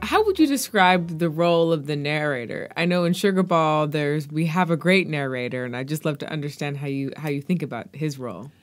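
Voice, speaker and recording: Female interviewer for a books podcast, slightly low voice, conversational delivery. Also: brisk, few pauses, soft background music.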